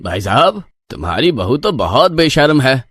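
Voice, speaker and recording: A gossipy village man acted by a male reader passes judgement on his neighbours with open disapproval. His pitch is middling and the recording is dry and close. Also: very animated, moderate pace, fairly bright tone, slightly rough, no echo. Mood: mocking.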